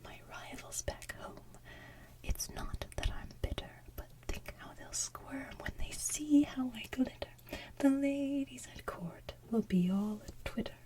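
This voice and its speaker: A woman hosting ASMR in a hushed, breathy, playful way, moving between whispered chat and soft singing. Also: high voice, a little slow. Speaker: ASMR channel host.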